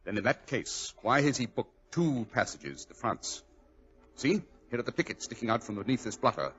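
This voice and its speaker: An actor playing a shrewd English gentleman detective, dry, precise and inquisitive, in 1940s radio style. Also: medium pitch, sing-song, moderate pace, soft background music. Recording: dull vintage radio recording.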